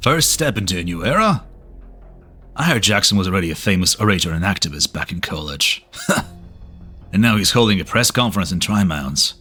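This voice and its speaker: A man's voice: sits low, lively intonation, normal speed, sharp tone, exaggerated voice. A man playing a cynical army colonel in a game, with a sardonic, grumbling delivery. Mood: sly, amused. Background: faint music.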